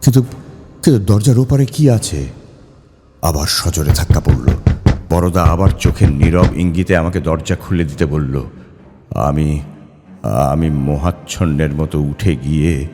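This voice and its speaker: A man narrating a ghost story dramatically and suspensefully, with deliberate pauses and repeated words. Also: extremely low pitch, dark tone. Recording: long hall reverb, music behind.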